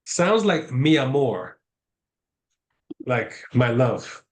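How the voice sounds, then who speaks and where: A man's voice: mid-range voice, very animated, very slow. Easygoing man from Miami. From Miami, Florida. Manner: short, easygoing replies. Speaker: prospective client.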